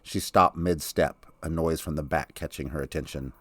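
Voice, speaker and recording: Very deep male audiobook narrator. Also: steady tone, normal speed, fairly bright tone, breathy edge, dry recording. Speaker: author reading own audiobook.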